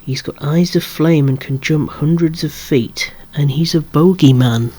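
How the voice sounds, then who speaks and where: ASMR collector-presenter, androgynous voice. Whispered, hushed delivery at medium pitch, with gently wry asides. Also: unhurried, husky. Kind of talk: whispered ASMR show-and-tell of collectible toys.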